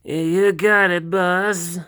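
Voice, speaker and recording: A man's voice: high-pitched, steady tone, slow pace, pushed and bright, clear, resonant tone, voice pushed up, exaggerated voice. A man voicing a jumpy young woman sidekick who stammers eagerly for a fan-made cartoon.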